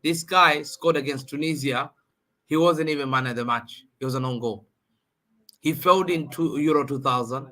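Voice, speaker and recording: Forceful, bright-voiced man debating football. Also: medium pitch, moderate pace. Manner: emphatic, repeats phrases for emphasis. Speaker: football panel guest. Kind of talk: heated football debate on a livestream.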